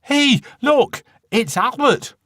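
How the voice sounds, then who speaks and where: Man putting on a plaintive Northern mother, raised pitch. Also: expressive, slow pace, pushed and bright, no room sound, clean studio sound, full character voice. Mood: excited. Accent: Northern English. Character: working-class Northern mother, plaintive and matter-of-fact.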